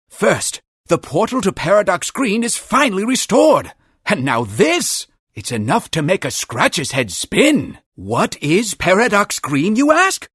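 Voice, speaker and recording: A man voicing an excitable, gossipy little creature in a cartoon game, husky and chatty, full of marveling emphasis. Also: high-pitched, no echo, studio quality, heavily acted. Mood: excited, relieved. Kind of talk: theatrical cartoon game character dialogue.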